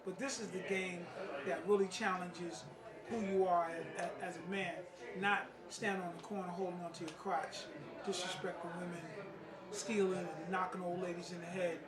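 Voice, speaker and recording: A man's voice: high-pitched, level tone, moderate pace, over a noisy crowd. Street-wise New man, distant in a room. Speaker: playwright and martial arts master. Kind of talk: informal spoken interview and presentation. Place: indoor gathering at a play reading. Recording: roomy, distant mic, people in background.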